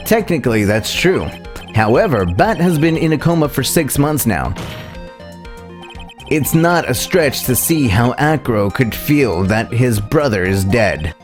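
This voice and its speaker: Man as an earnest, unhurried young defense lawyer. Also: medium pitch, lively intonation, faint music behind, close to an everyday voice. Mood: reasoning. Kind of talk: amateur voicing of courtroom video game text.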